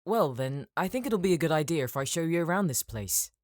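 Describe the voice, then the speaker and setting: A narrator voicing an excitable, nervous elf healer in a fantasy audiobook, given to exclaiming and awkward stammering. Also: mid-range voice, fairly quick, dry recording, clean studio sound, voice pushed up, close to an everyday voice. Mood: helpful, explaining. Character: excitable, nervous elf healer man, friendly game guide. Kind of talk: audiobook character acting in a fantasy story.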